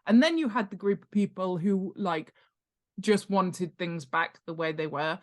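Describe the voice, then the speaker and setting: A woman's voice: on the low side, measured pace. Woman explaining things informally and emphatically. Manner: animated, emphatic, checks in with "right?" and "okay?". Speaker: guest author and world-building expert. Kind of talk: informal explanatory interview talk.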